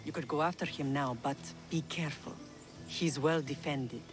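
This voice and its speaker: A woman's voice: low-pitched, fairly even intonation, normal speed, music in the background, natural voice. An actress as a fierce, vengeful mother. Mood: forceful. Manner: forceful, scheming.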